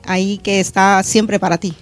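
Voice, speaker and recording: A middle-aged woman pastor from Honduras, reflecting earnestly on her faith in a studio interview, pausing with fillers. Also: medium pitch, flat delivery, faint music behind. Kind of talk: testimony interview. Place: church media studio.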